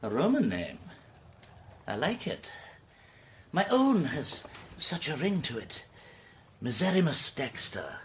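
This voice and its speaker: Theatrical, volatile eccentric gentleman, wildly swooping voice. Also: medium pitch, wildly swooping pitch, slow pace, pauses often, slight background noise. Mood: brooding, courtly. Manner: theatrical, florid, swinging between outbursts and hushed brooding. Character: eccentric, melodramatic, self-pitying legless gentleman, volatile. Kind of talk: radio drama acting.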